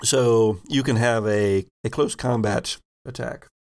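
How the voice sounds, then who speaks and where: A man acting as game master, narrating a tabletop roleplaying game with halting, improvised descriptions. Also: mid-range voice, fairly even intonation, creaky, a little mellow.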